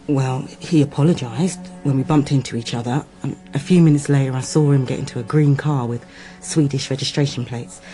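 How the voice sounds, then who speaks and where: Soft woman confiding in her partner, dark mellow tone, quiet music under, radio play. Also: extremely low pitch, brisk, few pauses, rough recording. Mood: certain, intimate. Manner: intimate, confiding. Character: soft-spoken, candid woman, the detective's lover. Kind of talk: radio drama acting.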